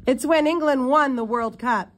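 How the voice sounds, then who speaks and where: A woman's voice: sits high, normal speed, voice pushed up, natural voice. Woman voicing a chummy, wry British hotel man. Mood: matter-of-fact.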